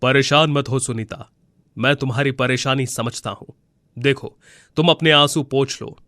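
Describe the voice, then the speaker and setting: A man voicing a kindly magical mango tree in a moral storybook tale, gentle and reassuring in how he speaks. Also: mid-range voice, steady tone, normal speed, dry recording, clean studio sound, natural voice. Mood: consoling. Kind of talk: Hindi moral storybook voice acting.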